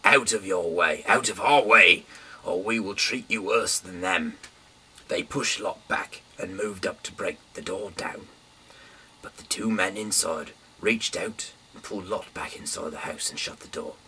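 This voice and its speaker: Male, low but forceful voice, informal dramatic scripture reading, recorded at a distance. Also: a little slow, husky. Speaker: Bible reader. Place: small dim room indoors. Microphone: camera mic from a little way off. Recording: distant mic, faint background hiss.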